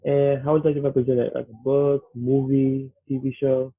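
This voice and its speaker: A man's voice: mid-range voice, little pitch movement, moderate pace, breathy edge, faint music behind. A man hosting a podcast, asking the guest plain questions and repeating them when asked. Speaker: podcast host. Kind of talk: casual podcast interview. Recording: tinny phone-like line.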